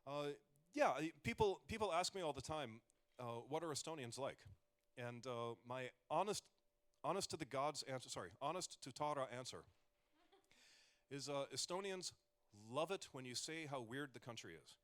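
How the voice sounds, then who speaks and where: A man's voice: medium pitch, animated, normal speed, a bit bright. Joking man giving a talk. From United States. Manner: joking asides, rambling with restarts. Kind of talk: conversational stage talk.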